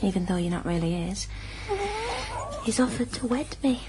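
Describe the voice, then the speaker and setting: A woman's voice: medium pitch, moderate pace, background noise, close to an everyday voice. A woman acting in a radio drama as a desperate, plaintive young unwed mother with a Northern English accent. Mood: wistful hope. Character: poorhouse ghost, desperate young unwed mother, defiant then despairing.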